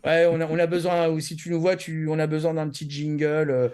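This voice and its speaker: A man's voice: fairly high pitch, monotone, fairly quick, darkish tone, smooth. A man co-hosting a casual anime chat, speaking informally and repeating himself for emphasis. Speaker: podcast co-host.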